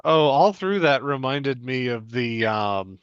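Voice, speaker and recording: A man's voice: mid-range voice, expressive, slow, smooth, no room sound. A man performing at a comedy table read, chatting casually with fellow readers, on a slightly dull recording. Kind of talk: casual panel banter.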